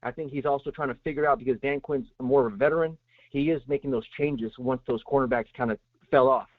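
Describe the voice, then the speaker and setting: A man's voice: medium pitch, fast talker, no room sound. A man calling into a sports show, rambling with lots of fillers as he gives his view. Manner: rambling, many fillers and restarts. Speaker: caller. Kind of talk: call-in sports opinion. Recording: tinny phone line.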